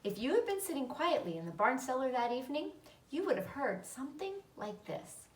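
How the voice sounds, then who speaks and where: A woman's voice: sits high, very animated, fairly quick. A woman reading a children's story aloud as narrator. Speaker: storybook reader. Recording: roomy echo, slightly distant mic.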